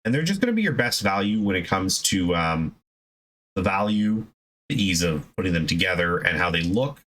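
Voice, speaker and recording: A man hosting a hobby video, giving a casual, unscripted explanation in a conversational, halting way with self-corrections. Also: low voice, expressive, fairly bright tone, rough recording.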